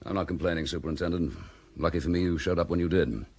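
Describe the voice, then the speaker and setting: A man's voice: low-pitched, fast talker, darkish tone, breathy edge. A man playing a dogged, blunt chief inspector in a vintage radio drama. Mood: insistent.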